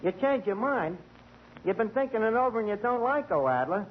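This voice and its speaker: A man's voice: high voice, moderate pace. A man playing a wisecracking tavern manager in a 1940s radio comedy, full of malapropisms. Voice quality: lively, wisecracking, malaprop-prone. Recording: muffled, hissy 1940 radio recording.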